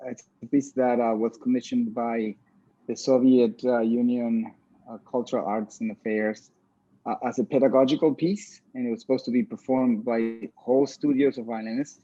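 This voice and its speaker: Mellow, halting man in a roomy recording. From Venezuela. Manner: halting, pausing often mid-sentence. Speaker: orchestra violinist, interview guest. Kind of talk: casual interview talk. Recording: dull, roomy low-fidelity sound.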